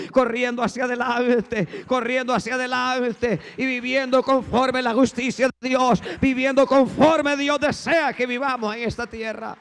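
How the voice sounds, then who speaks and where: A male pastor preaching a fervent sermon, swinging from hushed tones to shouting and repeating "brothers" as a refrain. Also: very high voice. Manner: repeats "hermanos" as a constant refrain. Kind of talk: Spanish-language sermon. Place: church sanctuary. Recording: long echo of a large hall.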